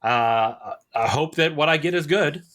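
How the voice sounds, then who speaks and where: A man's voice: a little high, measured pace, fairly bright tone. A man co-hosting a podcast in casual chat, opinionated and emphatic, often repeating points for stress. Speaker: podcast co-host.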